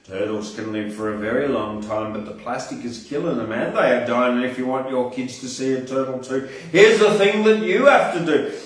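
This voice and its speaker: A man's voice: mid-range voice, moderate pace. Echoey, distant Australian man reciting poetry. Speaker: poetry show host and poet. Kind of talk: performance poetry recital with casual hosting. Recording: very echoey room, distant from mic.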